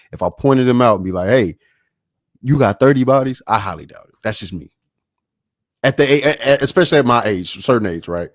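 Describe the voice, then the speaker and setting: A man's voice: fairly low pitch, dry recording. Argumentative young man, dry close sound. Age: late 20s. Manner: argumentative, repeats himself, slangy. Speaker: podcast panelist. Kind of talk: casual debate talk.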